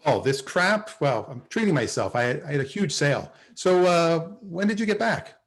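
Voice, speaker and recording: A man acting a flustered, smitten office salesman in a sitcom table read, with a halting, excitable delivery. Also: a little high. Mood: awkward banter. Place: video call at a table read.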